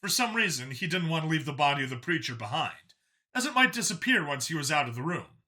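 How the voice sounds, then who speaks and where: A man's voice: a little high, very animated, quick pace, sharp tone. A man narrating a science fiction audiobook in a dramatic, scripted storytelling style.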